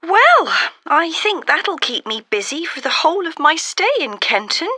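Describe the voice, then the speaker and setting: A woman's voice: high voice, very animated, unhurried, pushed and bright, no room sound, full character voice. A woman playing a keen, polite visitor making enquiries in an acted listening exercise, eager and courteous. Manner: eager, courteous, clearly enunciated. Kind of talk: acted listening-test dialogue.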